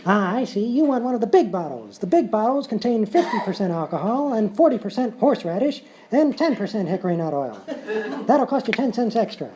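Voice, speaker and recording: A woman playing a showy, fast-talking medicine show huckster in a live radio-style comedy sketch. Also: fairly high pitch, big pitch swings, a crowd in the background. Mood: smooth assurance. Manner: showy, smooth-talking salesman patter.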